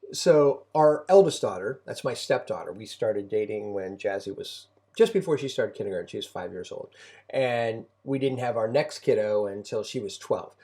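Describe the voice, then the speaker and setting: Mellow middle-aged man, reflective talker. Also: mid-range voice. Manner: reflective, halting with repeated phrases. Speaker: homeschooling parent and co-host. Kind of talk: conversational parenting talk.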